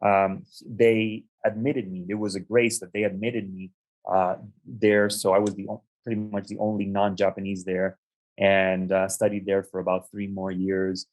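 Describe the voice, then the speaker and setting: A young adult man from the United States, an interview guest, telling his life story in a measured, reflective way with frequent ums and restarts. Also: sits low, flat delivery, a little mellow, low-quality recording. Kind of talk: conversational storytelling.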